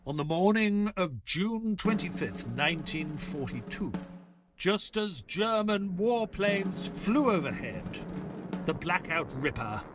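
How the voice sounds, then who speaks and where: Earnest sidekick and storyteller played by a man, higher-pitched, very expressive, for a detective audio drama. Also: music in the background, heavily acted. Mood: grave. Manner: measured storytelling, hesitant and flustered in dialogue. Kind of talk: audio play acting with scripted first-person narration.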